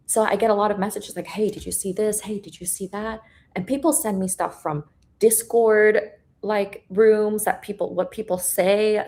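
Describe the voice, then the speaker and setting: A woman's voice: medium pitch, a little mellow. A young woman whose first language is Korean, talking bluntly and casually with lots of fillers and swearing on a live stream. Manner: blunt, profane, filler-heavy, laughs often. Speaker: guest trader. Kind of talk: casual chat.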